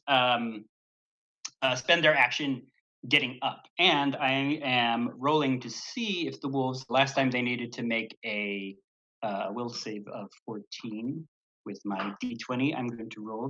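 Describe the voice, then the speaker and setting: A man's voice: mid-range voice, slow, sharp tone, dull audio. A man narrating as dungeon master in a tabletop game stream, rambling with many fillers and chuckles. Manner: rambling, hesitant, frequent fillers and laughter.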